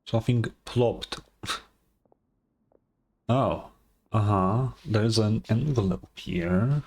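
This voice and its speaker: Very low-voiced, laid-back woman streamer. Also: extremely slow, a little mellow, slightly rough. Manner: laid-back, murmured interjections. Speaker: streamer reacting to a game. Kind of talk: casual reaction commentary.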